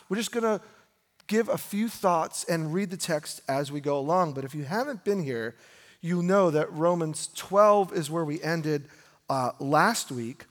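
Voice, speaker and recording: American male preacher in a reverberant hall. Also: fairly high pitch. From United States. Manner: informal, rhetorical questioning, repeats phrases for emphasis. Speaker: pastor preaching to a congregation. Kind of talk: conversational sermon. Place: large reverberant room, preaching live.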